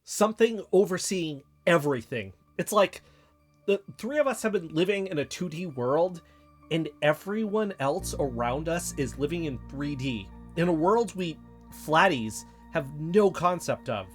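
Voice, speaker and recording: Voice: mid-range voice, lively intonation, unhurried. A voice in scripted audio drama dialogue, wry and conspiratorial, lifting up when spinning a theory. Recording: music bed underneath.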